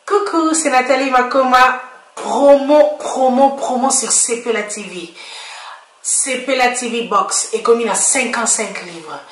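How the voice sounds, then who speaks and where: An energetic woman reads a promotional spot in a fairly high voice. The recording sits in an echoey room. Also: a little slow. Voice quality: bright, energetic promo delivery. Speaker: ad presenter. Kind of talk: upbeat advertisement read.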